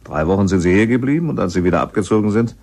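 An actor playing a middle-aged, gossipy widowed helper at a mountain lodge, chatting in a rambling, resigned way in a radio play. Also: male voice, on the low side, animated, very fast, a little mellow, husky. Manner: chatty, rambling, plain-spoken. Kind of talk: radio play acting, conversational.

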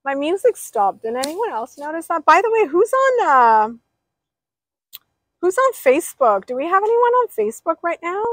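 A woman's voice: very high voice, sing-song, moderate pace, no room sound. A young woman livestreaming outdoors by a pool, rambling casually and reading out viewers' names. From Persian.

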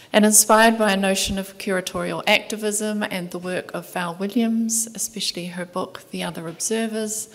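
A middle-aged woman with a New Zealand accent reading a conference paper in a soft, measured voice, from a table mic in a large lecture hall. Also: mid-range voice. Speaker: conference speaker, museum curator. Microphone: table microphone at panel desk.

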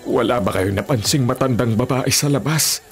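A man's voice: mid-range voice, moderate pace, lightly acted. A man playing a protective, fatherly older villager who explains monster lore reassuringly in a Filipino radio drama. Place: small radio studio. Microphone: studio condenser microphone. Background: music bed.

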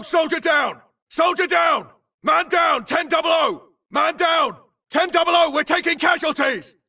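A frantic male game soldier, shouting clipped battlefield orders at a very high pitch. The voice is gritty and aggressive, set slightly back from the mic. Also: gravelly, distant mic, exaggerated voice. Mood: alarmed. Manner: urgent, clipped battlefield yelling. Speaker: game voice actor. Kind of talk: shouted combat barks for a shooter game.

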